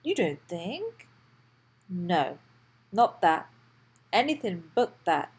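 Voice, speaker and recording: Woman as storybook toy dinosaur, excitable, childlike, pleading, lively swooping intonation, very slow pace. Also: lowish voice, husky, full character voice. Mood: panicked. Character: excitable, anxious toy dinosaur, boastful little boy-like friend. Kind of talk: children's storybook read-aloud.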